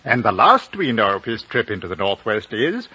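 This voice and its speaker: Earnest seasoned traveller for vintage radio drama: husky, lively intonation, precise storytelling, old broadcast sound. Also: male voice, lowish voice, normal speed, exaggerated voice. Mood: serious. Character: earnest well-travelled man recounting a mysterious search. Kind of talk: 1950s radio drama dialogue. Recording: dull, band-limited 1950 radio broadcast recording.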